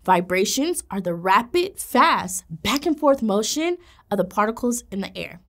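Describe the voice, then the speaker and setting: A woman in her thirties, a teacher presenting a kids' educational video with an animated, encouraging manner. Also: medium pitch, measured pace. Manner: animated, encouraging, gestures while speaking. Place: indoor classroom studio set.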